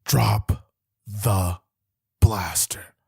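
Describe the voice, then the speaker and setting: A very raspy, dry-recorded detective acted by a man in an audio drama. He is cynical and pragmatic, a hard-boiled cop who defends his own methods in a lowish voice. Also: steady tone, drawn-out words, warm tone, no room sound, exaggerated voice. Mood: tense, commanding.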